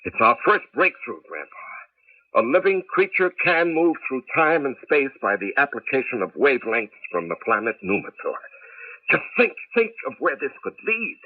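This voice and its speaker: An actor playing an eager, earnest cab driver and amateur inventor in a 1980s radio drama, with a husky voice. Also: male voice, medium pitch, big pitch swings, moderate pace, no room sound. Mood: excited. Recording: tinny, band-limited old radio broadcast.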